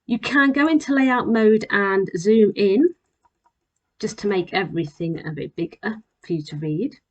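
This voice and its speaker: A woman presenting an instructional software demonstration as a voice-over, explaining plainly and step by step. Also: mid-range voice, measured pace, highs cut off. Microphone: voice-over on a screen recording.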